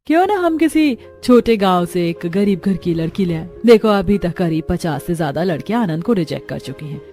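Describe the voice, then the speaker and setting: A woman's voice: lowish voice, lively intonation, brisk, mellow, faint music behind. A woman voicing a shrewd matchmaking aunt in a composed, persuasive manner.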